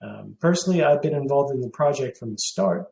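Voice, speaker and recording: A man in his forties from Hong Kong, answering questions as a company CEO on a video call, pausing with many filler sounds. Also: mid-range voice, animated, gravelly, a little mellow, echoey room, rough recording. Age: 40s.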